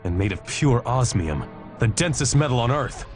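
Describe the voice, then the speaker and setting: A man playing a stalwart, self-assured, powerful superhero in a video game, calm and determined. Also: mid-range voice, normal speed, faint music behind, lightly acted. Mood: matter-of-fact.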